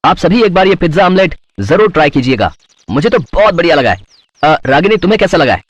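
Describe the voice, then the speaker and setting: A man's voice: a little high, big pitch swings, fast, no room sound, dull audio. A man playing a polite, enthusiastic food blogger in a cartoon story. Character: cheerful male food blogger, family man. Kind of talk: Hindi moral-story cartoon voice acting.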